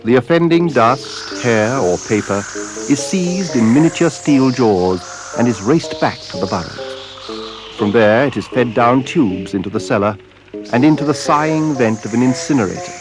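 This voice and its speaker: A man narrating a radio drama in a solemn, measured way, with deliberate dramatic pauses. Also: mid-range voice, expressive. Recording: radio drama with music behind. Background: music.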